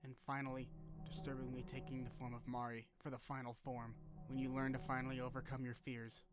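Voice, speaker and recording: A man's voice: mid-range voice, monotone, fairly quick. A man reading scripted narration that retells a video game's story.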